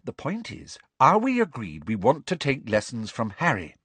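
A man reads as a bossy, earnest teenage girl who speaks briskly and tartly, her tone lively and swinging. The voice is a little husky, in a dry, close recording. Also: mid-range voice, no room sound, voice pushed up, heavily acted. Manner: brisk, impatient, tart.

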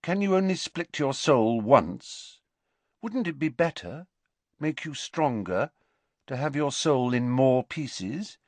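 A man's voice: medium pitch, lively intonation, slow pace, dry recording, voice pushed up. Man playing a polite, cunning teenage schoolboy. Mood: eager, probing. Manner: deferential, probing, hungry. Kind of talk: audiobook character voice.

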